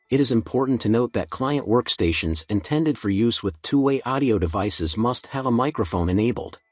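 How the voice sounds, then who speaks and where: A man reading a scripted software tutorial in a measured, procedural instructional manner. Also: fairly low pitch, no room sound.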